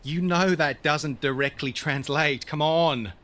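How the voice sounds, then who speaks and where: A world-weary, evasive colleague in an audio drama. His fairly high male voice is casual and placating, brushing things off dismissively. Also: measured pace, fairly bright tone, a little hiss or hum. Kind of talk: naturalistic audio drama dialogue.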